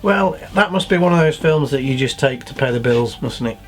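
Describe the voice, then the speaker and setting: A man's voice: mid-range voice, animated, fairly quick, soft background music. A man co-hosting a show, giving casual opinions in a matter-of-fact way with frequent tag questions. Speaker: show co-host.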